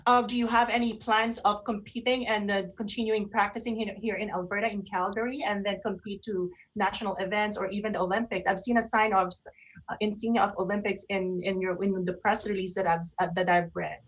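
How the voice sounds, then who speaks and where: Woman hosting, mid-pitched voice. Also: level tone, a little fast, rough recording. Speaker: host of an online radio show. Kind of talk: casual, chatty interviewing.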